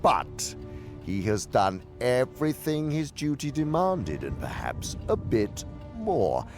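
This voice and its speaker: An actor playing a pompous, contemptuous demon uncle who mentors with menace in a theatrical radio drama. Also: male voice, medium pitch, sing-song, music in the background. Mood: cold, displeased. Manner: pompous, deliberate, sweeping from hushed to thundering.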